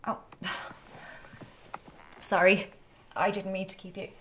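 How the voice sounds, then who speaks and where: A woman's voice: medium pitch, level tone, slow, a little hiss or hum, close to an everyday voice. Actress as a hesitant young woman. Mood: nervous, eager. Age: 20s. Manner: hesitant, apologetic. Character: timid, eager young Irish woman, aspiring writer. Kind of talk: radio drama acting.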